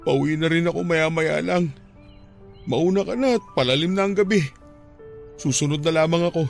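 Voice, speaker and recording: An actor playing a grieving older widowed father in a Filipino radio-style drama, tender and despairing, speaking in a halting, pleading, sentimental way. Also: fairly high pitch, vocal fry, faint music behind. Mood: sad, subdued.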